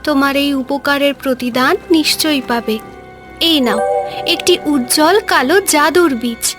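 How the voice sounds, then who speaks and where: A woman voicing a gentle, solemn magical mermaid with formal storybook phrasing in a cartoon fairy tale. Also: high-pitched, moderate pace, music in the background, close to an everyday voice. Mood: grateful, solemn.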